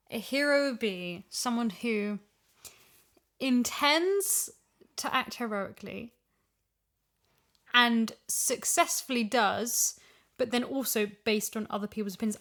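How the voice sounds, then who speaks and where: A woman's voice: fairly high pitch, a bit bright. A woman in a casual podcast chat, talking emphatically and repeating phrases for stress as a voice actor discussing an audio drama.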